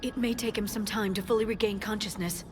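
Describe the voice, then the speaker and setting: A woman's voice: mid-range voice, fairly even intonation, brisk, natural voice. An actress playing a composed, scholarly alien woman in a video game, careful and articulate. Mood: intrigued, relieved. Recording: loud music behind the voice.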